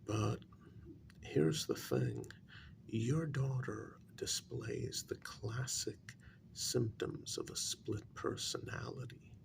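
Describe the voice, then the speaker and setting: A man in a soft-spoken ASMR role-play as a calm, grave psychologist, talking in a measured, halting, breathy half-whisper with gravel in it. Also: fairly low pitch, background noise. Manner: deliberate, measured, halting phrases. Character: calm, grave male psychologist and spiritualist counselling a parent.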